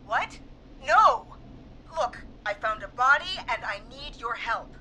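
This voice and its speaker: A woman's voice: a little high, lively intonation, slow pace, pushed and bright, slight background noise, exaggerated voice. A woman playing a frightened but determined police dispatcher in a video game. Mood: panicked, urgent.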